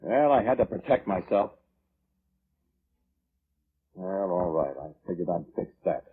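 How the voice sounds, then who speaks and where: A man's voice: low-pitched, animated, measured pace, breathy edge, exaggerated voice. A man acting in a 1940s radio drama, playing a violent, self-justifying man who defensively recounts his grudge. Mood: brooding. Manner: defensive, blustering. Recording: muffled old radio broadcast, music underneath.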